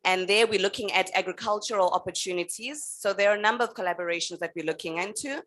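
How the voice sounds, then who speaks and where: A woman answering on a panel, measured and steady with frequent pauses and fillers. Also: medium pitch. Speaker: energy utility representative on a panel.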